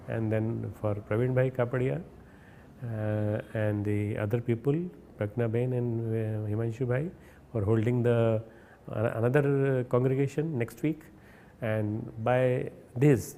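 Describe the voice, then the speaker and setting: A man with an Indian accent giving a recorded spiritual message, earnest and instructive, often pausing and restarting words. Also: on the low side, mellow, a little hiss or hum. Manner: hesitant, repeats and restarts words. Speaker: spiritual leader.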